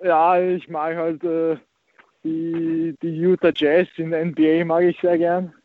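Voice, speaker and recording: A teenage man from Austria answers in short, halting phrases, padding them with the same filler words. His voice is fairly high for a man and stays flat. The sound is dry and thin, as if it came over a phone line. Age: teens. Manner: hesitant, repeats filler words. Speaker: young tennis player. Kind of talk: interview answers.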